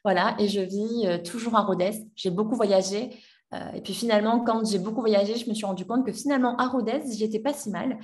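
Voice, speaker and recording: A woman's voice: medium pitch, brisk, a little mellow. A woman in her twenties telling her personal story as a podcast guest, hesitant, with many fillers and restarts. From France. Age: 20s. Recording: voice a bit distant from the mic.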